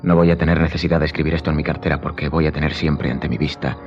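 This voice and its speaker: Grave, deep-voiced, enigmatic count. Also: male voice, little pitch movement. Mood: brooding. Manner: solemn, measured, sententious. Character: grave, commanding count, calm and enigmatic nobleman. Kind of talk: radio drama acting. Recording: dull lo-fi sound, faint music behind.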